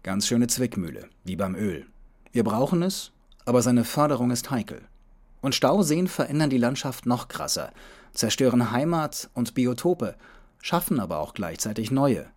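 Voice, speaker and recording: A man's voice: medium pitch, very animated, moderate pace, darkish tone. A man narrating a scripted travel documentary as the journey's own reporter.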